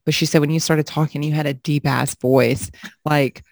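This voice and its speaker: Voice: medium pitch, fairly even intonation, moderate pace, gravelly, no room sound, clean studio sound. A young adult podcast co-host telling stories in casual, profane chat, laughing often. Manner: profane, laughing, slangy storytelling.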